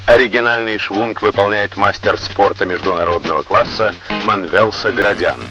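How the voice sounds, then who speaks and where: A man reading scripted instructional narration in a formal, measured, didactic way, on an old Soviet educational film soundtrack. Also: lowish voice, steady tone, music in the background.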